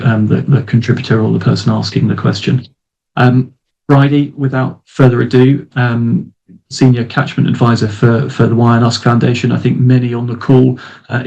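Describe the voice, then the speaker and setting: A man's voice: mid-range voice, gravelly, darkish tone, poor audio. A man chairing an online webinar, introducing speakers and giving reminders with many restarts and fillers, heard over a video call.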